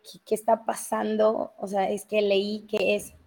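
A woman's voice: mid-range voice, level tone, a little slow, vocal fry, darkish tone. Brief: woman host from Mexico, Mexico City accent, rambling casual talker full of fillers. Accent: Mexico City Spanish. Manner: talkative, many fillers and self-interruptions. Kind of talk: casual live news chat.

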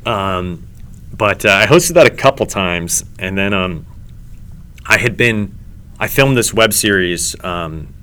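A comedy host telling stories in a casual chat, rambling and halting with many ums and restarts. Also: male voice, low-pitched, lively intonation, sharp tone, a little hiss or hum.

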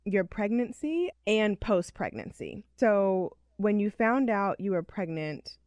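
A woman's voice: medium pitch, very animated, slow, darkish tone, dry recording. A woman hosting a podcast, friendly and chatty, laughing easily as she asks questions in a casual interview. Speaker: podcast host.

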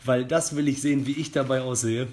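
A man's voice: medium pitch, fairly even intonation, fairly quick, darkish tone, a little hiss or hum. A young man hosting a casual podcast, reading a scam email aloud in a mock-formal, joking way. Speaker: podcast host. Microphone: studio microphone.

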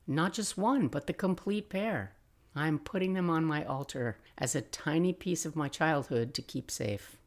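A woman in her sixties narrating personal memories as a podcast host, reflective and expressive with wry asides. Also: sits low, unhurried. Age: 60s. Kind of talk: personal memoir storytelling, scripted narration.

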